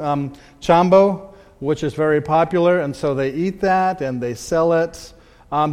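A man's voice: fairly high pitch, darkish tone. A middle-aged man with a slightly raised voice speaks conversationally, stopping and restarting his phrases. He presents slides as a missionary to a church audience. His voice carries a long reverb from a large hall.

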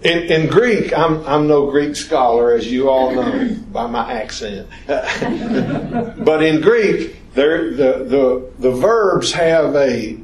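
Slow, halting man teaching a Bible class. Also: a little high, lively intonation, crowd noise. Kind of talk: informal Bible study lesson. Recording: echoey room.

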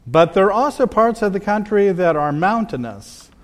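A man's voice: high-pitched, big pitch swings, moderate pace. A middle-aged man with a slightly raised voice speaks conversationally, stopping and restarting his phrases. He presents slides as a missionary to a church audience. His voice carries a long reverb from a large hall.

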